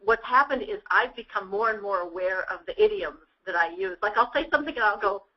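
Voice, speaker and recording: A woman's voice: medium pitch, expressive, fairly quick. A woman presenting informally to a group, on muffled webinar audio. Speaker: workshop presenter.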